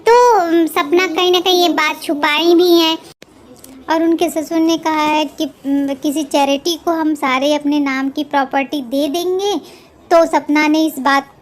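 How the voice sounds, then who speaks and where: A woman with a very high, girlish voice speaks slowly as a vlogger. She gives informal gossip commentary, halting often and repeating her phrases as she goes. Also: steady tone. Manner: halting, repetitive delivery.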